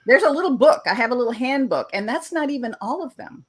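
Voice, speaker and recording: Woman coaching clear speech. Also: high-pitched, fast talker. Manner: lively, explains points clearly. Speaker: English communication coach. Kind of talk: casual interview chat.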